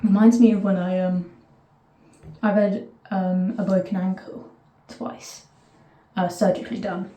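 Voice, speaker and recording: A woman with a southern English accent chats to camera from a bath, her mellow, warm voice bouncing off the hard walls of an echoey bathroom. She rambles through stories in fits and starts, breaking off into asides and picking the thread back up. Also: mid-range voice, level tone, unhurried, slight background noise. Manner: rambling, chatty, self-interrupting asides. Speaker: vlogger. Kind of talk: casual chat to camera.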